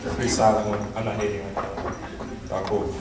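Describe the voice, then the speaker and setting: Young poet from the Dominican Republic, echoey hall. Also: male voice, lowish voice, level tone, brisk, darkish tone. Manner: hesitant chat, then rhythmic, punchy poem delivery. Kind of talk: spoken word poetry. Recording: echoey hall, far from mic.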